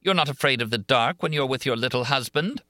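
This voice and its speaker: A man's voice: medium pitch, brisk, sharp tone, dry recording, clean studio sound, pitched above the performer's own voice, close to an everyday voice. Man doing a menacing jealous monster. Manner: angry, mocking.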